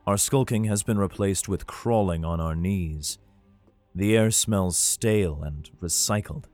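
Man acting a weary father, middle-aged male, subdued audio drama narration, low pitch, faint music behind. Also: a little slow, darkish tone, dry recording. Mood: grave narration. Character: weary, protective father fleeing war, narrating. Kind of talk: audio drama first-person narration and dialogue.

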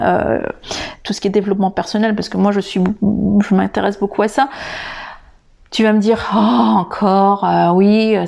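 A woman hosting a podcast lesson, talking to the listener informally and pausing often with filler sounds. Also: mid-range voice, gravelly, dark tone. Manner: hesitant, many filler sounds and restarts; addresses the listener directly and informally. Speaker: English teacher and podcast host.

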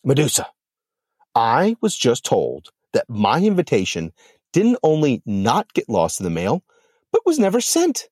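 A man voicing a vain, haughty female demon with a shrill, indignant manner. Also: big pitch swings, normal speed, a little mellow, no echo, clean studio sound. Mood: outraged.

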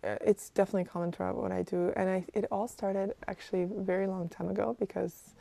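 A woman's voice: medium pitch, level tone, fast, gravelly, warm tone. A woman chatting casually as an interview guest. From Kazakhstan, moved to Canada aged ten.

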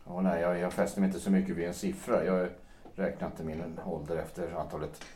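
An actor playing a melancholy, self-pitying writer of fifty in an audio drama, speaking haltingly and broodingly. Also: male voice, low voice, little pitch movement, mellow, slight background noise, close to an everyday voice. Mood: bitter, weary. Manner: halting, brooding delivery with repeated words. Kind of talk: stage-play audio drama acting. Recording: roomy, echoing sound.

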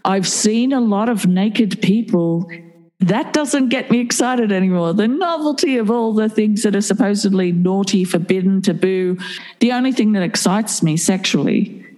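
A woman's voice: medium pitch, moderate pace, mellow, in a large hall, rough recording. A woman in her fifties talking frankly and bluntly in a candid interview, swearing casually. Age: 50s.

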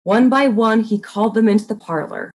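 A woman narrating a comic murder mystery in a deliberate, deadpan dramatic reading. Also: fairly high pitch, poor audio.

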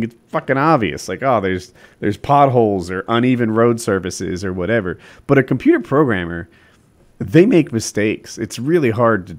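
A man chatting casually on a video call, rambling with lots of fillers and swearing. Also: fairly low pitch. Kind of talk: casual chat.

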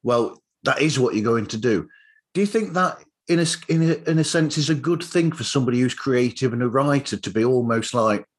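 A man's voice: medium pitch, brisk. A man hosting a casual interview, talking in a rambling, enthusiastic way. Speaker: podcast host interviewer.

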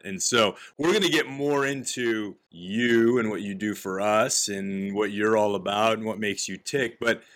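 Low-voiced upbeat male podcast host. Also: a bit bright. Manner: upbeat, encouraging interviewer. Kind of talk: interview podcast.

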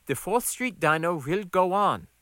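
A self-assured woman in an audiobook, played by a man, pitched somewhat up and swinging expressively. The delivery is firm and teasing, pressing each point with a bright edge. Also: big pitch swings, a little slow, no room sound, clean studio sound, full character voice. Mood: firm, caring. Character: confident, needling adult woman, longtime diner friend.

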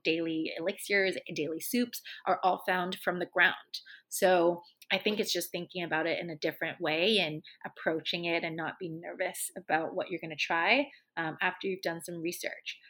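Bright-voiced chatty woman from Hong Kong. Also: on the low side. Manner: easygoing, chatty, many fillers. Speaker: bartender and drinks educator. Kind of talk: casual interview chat.

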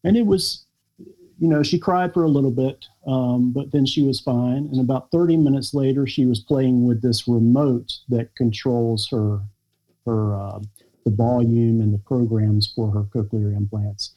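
An American man, a podcast guest, telling a personal family story in a halting, reflective way with many pauses and fillers. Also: medium pitch, fairly even intonation, dull audio. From United States. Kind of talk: podcast interview conversation.

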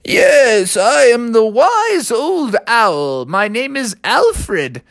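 A storyteller voicing a frail, kindly old male owl who says "me boy" in a high, wavering old-man voice. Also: sing-song, extremely slow, creaky, exaggerated voice. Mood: gentle. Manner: folksy, says "me boy" and "me own".

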